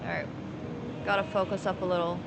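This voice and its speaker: A woman's voice: mid-range voice, level tone, fairly quick, background noise. A woman from Finland live streaming casually, thinking aloud in rambling fragments as she plays and chats with viewers. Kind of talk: casual chat while playing poker, answering viewers.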